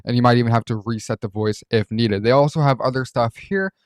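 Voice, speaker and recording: A man presents a software walkthrough with a mid-pitched voice. He talks informally and rambles a little as he explains. The recording is dry, with no room sound around him. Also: a little fast, no echo. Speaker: host reviewing voice changer software.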